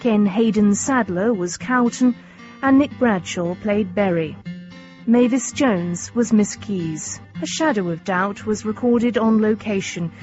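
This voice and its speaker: A woman announces radio drama credits in a scripted, mid-pitched voice. Faint plucked guitar plays behind her. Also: unhurried, a little mellow. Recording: slightly muffled over guitar music. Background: plucked guitar music.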